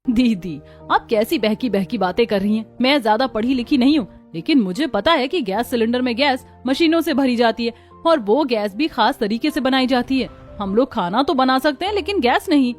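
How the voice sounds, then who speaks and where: Lady doing cartoon village housewife lines, bit high pitched, music under it. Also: animated, moderate pace, lightly acted. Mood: earnest. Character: village women of a poor family, daughters-in-law and mother-in-law. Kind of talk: cartoon story dialogue.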